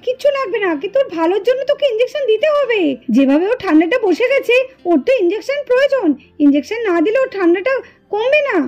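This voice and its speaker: A woman's voice: very high voice, lively intonation, quick pace, a little mellow, highs cut off. A woman voicing a stern, exasperated lady doctor doll in a melodramatic puppet cartoon, scolding, tutting and insistent. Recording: music behind the voice.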